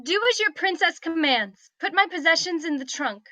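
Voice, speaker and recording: A woman's voice: extremely high pitch, animated, normal speed, sharp tone, dry recording, exaggerated voice. A woman playing an imperious, pompous young princess in a comedic table read. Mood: commanding. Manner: commanding, pompous. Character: imperious young princess, haughty and grandly self-important.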